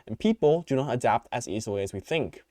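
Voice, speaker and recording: Young male podcaster, mid-pitch, rambling and opinionated, slightly worn voice, dead-dry recording. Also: lively intonation, quick pace, dark tone, dry recording. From United States. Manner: rambling, opinionated, many fillers and restarts. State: voice tiring. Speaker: podcast host. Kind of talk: unscripted solo commentary.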